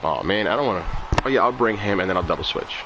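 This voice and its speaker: A man's voice: on the low side, animated, quick pace, a crowd in the background. A man chatting casually and joking while playing a baseball video game. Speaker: gameplay host. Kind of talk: casual gameplay commentary.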